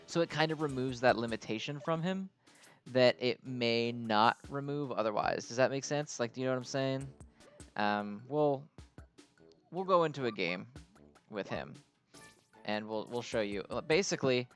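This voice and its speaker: A man's voice: medium pitch, normal speed, soft background music. A man giving casual, unscripted game commentary as a video host, explaining things in a hesitant, rambling way.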